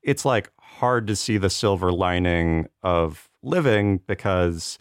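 A man with a deep voice chats as a podcast panelist. The sound is dry, with no room around it. He talks informally, circling and hedging his points with plenty of "like". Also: slow, clean tone, dry recording. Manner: hedging, rambling, peppered with "like". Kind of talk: casual conversational discussion.

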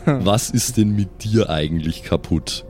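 A man's voice: lowish voice, animated, a little slow, a little mellow. A man bantering casually and in slang as a player in an improvised tabletop role-playing game. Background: background music.

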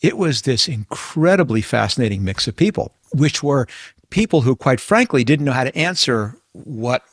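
Lively, animated male podcast host. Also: medium pitch, normal speed, no echo, studio quality. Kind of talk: podcast interview, scripted intro then chat.

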